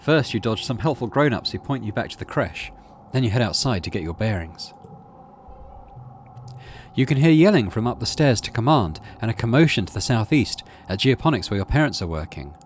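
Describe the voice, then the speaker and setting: A man's voice: mid-range voice, sing-song, brisk, a little hiss or hum. A middle-aged man hosting a gaming video, reading story text aloud and adding casual commentary. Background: game music.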